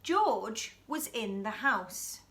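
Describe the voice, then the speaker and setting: A woman reads a children's bedtime story in a high, bright voice. She is animated and expressive, turning to her young listeners with questions as she goes. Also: drawn-out words. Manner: animated, acts out dialogue, asks listeners questions. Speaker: storyteller reading a children's picture book.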